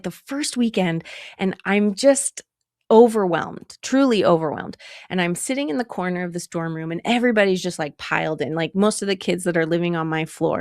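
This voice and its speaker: A woman's voice: fairly low pitch, dry recording, clean studio sound. A woman from Utah, a comedian guest telling personal stories in an interview, laughing often and acting out her own past thoughts. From Utah, USA.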